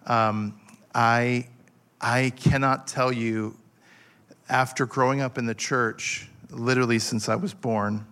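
A man in his forties preaching a passionate sermon, halting with fillers and restarts as he builds his point. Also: mid-range voice, fairly even intonation, fairly bright tone, clean tone. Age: 40s. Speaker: pastor.

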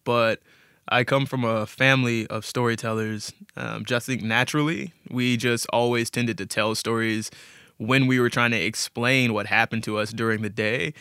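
Bright-voiced man in a dry interview. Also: medium pitch, steady tone, moderate pace, smooth, no room sound. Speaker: storyteller being interviewed. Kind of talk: casual interview talk.

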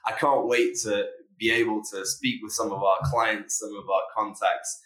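A man hosting a podcast, talking in a measured, self-deprecating way and often repeating words as he goes. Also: lowish voice, fairly even intonation, a bit bright, echoey room, low-quality recording. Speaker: podcast host. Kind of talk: podcast chat.

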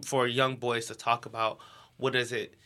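A man's voice: medium pitch, flat delivery, moderate pace, bright, forceful tone. A young American man speaking informally as an interview guest, peppering his talk with "like". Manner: informal, heavy use of "like". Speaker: interview guest, education nonprofit co-founder. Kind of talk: casual conversational interview.

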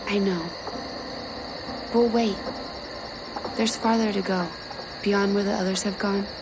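An actress playing an eerie, patient crewmate who calmly coaxes someone onward in an audio drama. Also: female voice, medium pitch, takes it slowly, noisy background. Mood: calm, beckoning. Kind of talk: modern audio drama acting.

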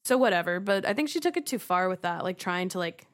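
A woman's voice: on the low side, lively intonation, fast talker. Frank woman recapping reality TV. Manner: frank, opinionated, filler-heavy. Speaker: podcast co-host. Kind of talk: casual recap chat.

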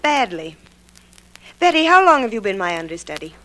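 Brassy, bright-voiced Broadway star. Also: female voice, medium pitch, very animated, normal speed, poor audio. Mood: brisk, wisecracking. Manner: slangy, flippant, direct. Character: brassy, wisecracking female Broadway stage star. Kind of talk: old-time radio drama acting. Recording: old radio recording with faint noise.

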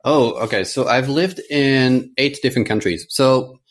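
A man in his thirties from France talks casually and at length as a guest in a conversation, growing animated as he tells stories. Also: mid-range voice, moderate pace. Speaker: interview guest.

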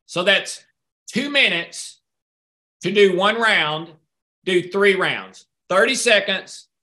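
A man's voice: high voice, sharp tone. A man answering audience questions in a casual session, rambling a little and poking fun at himself. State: a little hard of hearing. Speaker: guest speaker answering questions.

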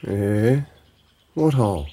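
Bumbling older grandpa. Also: male voice, lowish voice, very animated, takes it slowly, mellow, heavily acted. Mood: curious. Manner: earnest, blunt, hesitant. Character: worried, bumbling, gullible grandfather. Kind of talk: comic audio drama dialogue.

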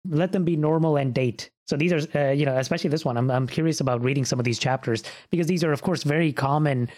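A man hosting a podcast, introducing a guest and narrating in a measured scripted way. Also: a little high, a little mellow. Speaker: podcast host.

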